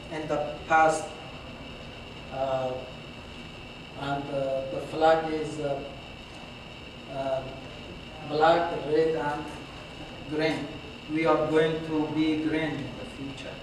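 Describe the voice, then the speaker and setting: Slow hesitant man speaking in an echoey hall. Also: fairly high pitch, little pitch movement. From Afghanistan. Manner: hesitant, frequent "uh" pauses, non-native grammar. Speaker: symposium presenter. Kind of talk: halting slide presentation. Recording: very echoey hall, far from mic, with clear room noise.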